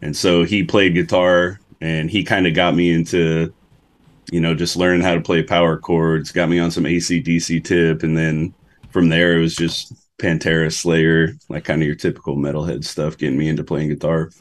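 Low-voiced young guy from Alaska, easygoing guest who rambles. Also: level tone, moderate pace. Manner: laid-back, rambling, many "like"s. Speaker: podcast guest, metal guitarist. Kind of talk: casual interview chat.

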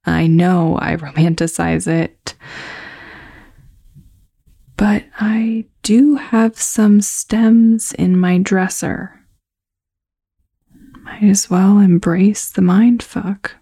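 Actress as restless young woman, obsessive, hesitant confiding, slow pace, dry close sound, audio drama. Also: mid-range voice, fairly even intonation, dark tone, dry recording, heavily acted. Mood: reckless, wistful. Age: young adult. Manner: hesitant, confiding, often breathy, swearing. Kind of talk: found-footage audio drama monologue.

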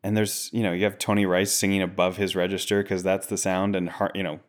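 Deep-voiced male interviewer. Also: flat delivery, fairly quick. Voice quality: low voice. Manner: curious, asks short questions. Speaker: interviewer host. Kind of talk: casual interview chat.

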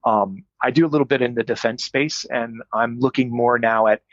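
A man's voice: mid-range voice, fairly even intonation, normal speed, fairly bright tone, no room sound, lo-fi sound. A middle-aged man, a strength coach, answering interview questions in a thoughtful, explanatory way with frequent fillers. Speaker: strength coach, podcast guest.

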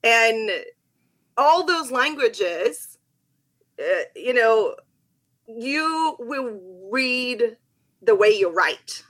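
A woman with a fairly high voice speaks English as a second language, her first being Portuguese. She talks in animated, quick bursts, like a guest sharing stories on a chatty podcast. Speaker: podcast guest, Hebrew teacher. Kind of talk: casual conversation.

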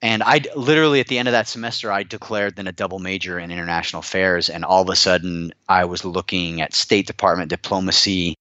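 A man's voice: sits low, fairly even intonation, fast, hardly any pauses, bright, forceful tone, lo-fi sound. An American man talking conversationally about himself in an informal, emphatic storytelling way, as a guest in an interview.

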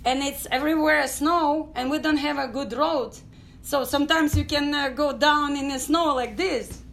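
Ukrainian woman, middle-aged, high voice, pauses and fillers, faint background noise. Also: creaky, a bit bright. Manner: halting non-native English with many fillers. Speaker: guest, dance company founder. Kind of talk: storytelling interview.

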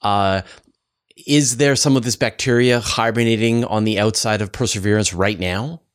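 A man's voice: fairly low pitch, normal speed, clear, resonant tone. A man hosting a science interview, asking questions in a curious, informal, conversational way. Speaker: interviewer, host.

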